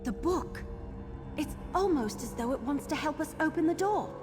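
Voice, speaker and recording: Eager, high-voiced young adventurer. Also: female voice, expressive, moderate pace, music in the background. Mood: curious, eager. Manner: exclamatory, eager, encouraging. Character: eager young woman adventurer companion, excitable and curious. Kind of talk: fantasy video game voice acting.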